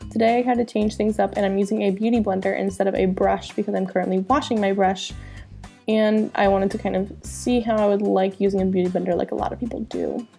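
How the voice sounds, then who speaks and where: A woman's voice: medium pitch, fairly even intonation, quick pace, creaky, warm tone, faint music behind. A young woman with a nasal voice from a cold, chatting upbeat through a makeup tutorial as a beauty vlogger.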